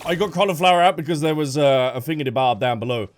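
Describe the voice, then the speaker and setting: A man's voice: on the high side, fast talker, over music. A man giving casual playthrough commentary, chatty and full of exclamations and reactions. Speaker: gaming content creator. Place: game audio and music behind voice.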